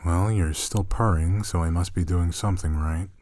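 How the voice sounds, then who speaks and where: Deep-voiced, gentle teasing roommate played by a man. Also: steady tone, normal speed, natural voice. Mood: soft, intimate. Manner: soothing, coaxing, reassuring, lightly teasing. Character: gentle, teasing male roommate soothing a shy catgirl-like companion. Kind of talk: intimate ASMR roleplay, soft and close.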